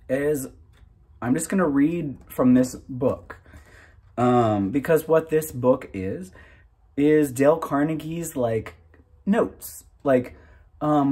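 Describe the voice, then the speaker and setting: A man in his thirties hosting his own channel, talking casually and a little self-consciously in a halting, informal way. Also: medium pitch, darkish tone, breathy edge. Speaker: host of a reading channel. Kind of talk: casual, unscripted chat to camera.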